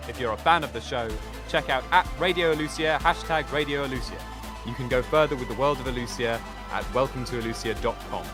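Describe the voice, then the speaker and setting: A man reading scripted podcast credits as an announcer. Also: mid-range voice.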